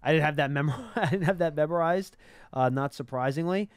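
Male sports show host, higher voice, clean and smooth, emphatic explainer, dry close-mic studio sound. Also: fairly quick, no echo. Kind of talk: live sports talk and analysis. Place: small indoor broadcast studio. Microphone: close broadcast mic on boom arm.

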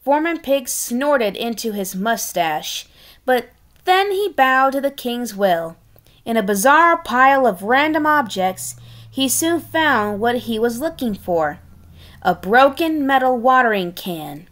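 Female storybook reader, fairly high voice, unhurried, sardonic in asides. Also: big pitch swings, a bit bright. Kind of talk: expressive read-aloud with wry asides.

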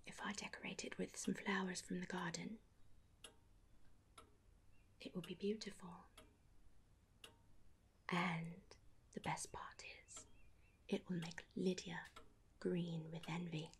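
A genteel Regency married lady, acted by a woman in an ASMR period roleplay, whispers softly and breathily. She is affectionate and house-proud, with unhurried, old-fashioned phrasing. The close recording has clear background noise with a ticking sound. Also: lowish voice, level tone. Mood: fond, pleased. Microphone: close microphone.

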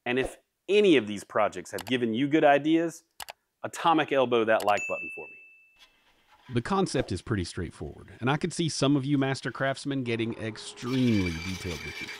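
A man's voice: medium pitch, lively intonation, normal speed, noisy background. A man, hosting a woodworking channel in an upbeat, jokey how-to manner from his home workshop. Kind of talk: scripted workshop narration.

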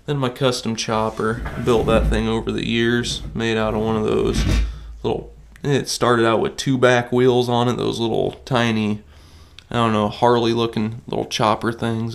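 A man's voice: lowish voice, creaky. A man giving a rambling, laid-back walkaround of his home workshop, with many fillers and restarts, recorded on a handheld camera indoors. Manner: laid-back, self-deprecating. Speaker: mini bike builder. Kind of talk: casual walkaround vlog.

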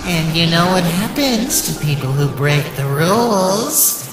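A man voicing a menacing villain in a comic dub, with a taunting, forceful delivery. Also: high voice, expressive, unhurried, roomy sound, over music, rough recording. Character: menacing villain threatening to punish rule breakers. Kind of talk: dramatic comic dub acting.

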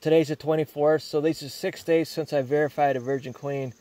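A man's voice: on the high side, steady tone, brisk, dark tone, dry recording. Older man talking while tending beehives. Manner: rambling, frequent restarts, gripes about other beekeepers. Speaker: beekeeper. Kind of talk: casual hands-on demonstration. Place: outdoors among beehives in a yard.

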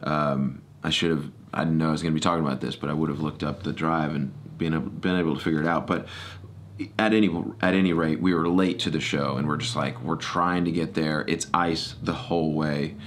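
A man's voice: very deep voice, flat delivery, fairly quick, slight background noise. A middle-aged American man hosting a podcast, chatting casually and rambling as he corrects himself. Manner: rambling, self-correcting storytelling. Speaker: podcast host. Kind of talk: casual solo podcast chat. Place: small home studio room. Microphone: close boom-arm podcast microphone.

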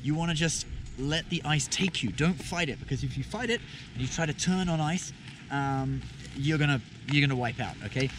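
Man teaching skiing over loud wind. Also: a little high, normal speed. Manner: relaxed, talks while skiing, fillers and "okay?" checks. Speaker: ski instructor. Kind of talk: instructional vlog. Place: outdoors on a ski slope. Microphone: action camera on a pole. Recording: loud wind noise outdoors.

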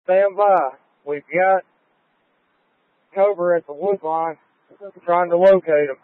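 A man's voice: sits high, takes it slowly, no echo. A male state trooper giving clipped, coded reports over a police radio during a pursuit. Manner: clipped procedural phrasing in radio codes. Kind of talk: terse police radio traffic. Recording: tinny narrowband police scanner radio.

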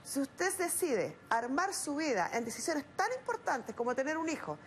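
TV judge, Chilean woman, high voice, stern and scolding. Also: very animated, moderate pace, a little hiss or hum. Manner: blunt, scolding, rhetorical questions. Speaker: TV arbitration show judge. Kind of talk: stern courtroom lecturing. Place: TV studio set.

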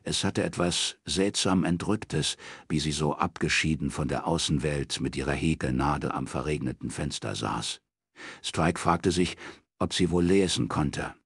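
A man's voice: a bass voice, husky, dry recording, clean studio sound. A man narrating a crime novel as an audiobook, reading from a script.

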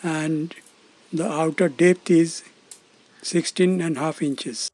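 Higher-pitched man narrating a demonstration. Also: steady tone, takes it slowly, darkish tone. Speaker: presenter of a loudspeaker testing demonstration. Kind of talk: scripted step-by-step narration.

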